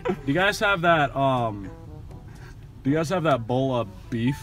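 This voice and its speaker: A young man talking casually and slangily in a deadpan way, swearing freely, recorded inside a car. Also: mid-range voice, unhurried, faint music behind. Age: young adult. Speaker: prankster ordering at drive-throughs. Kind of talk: casual prank chat. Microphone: camera held by passenger beside him.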